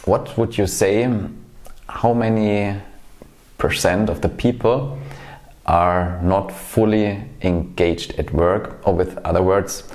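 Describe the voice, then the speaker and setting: A man hosting a podcast interview, putting his questions in a measured, deliberate, conversational way. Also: low voice, darkish tone.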